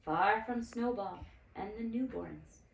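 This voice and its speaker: A woman reading a children's story aloud expressively, with dramatic, deliberate phrasing. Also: medium pitch, gravelly. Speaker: storybook reader, cantor. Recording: roomy, distant mic with faint noise.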